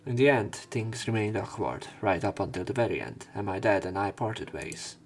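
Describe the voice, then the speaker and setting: Male let's play narrator: deep, fry-heavy voice, expressive visual novel read-aloud over quiet music. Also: normal speed.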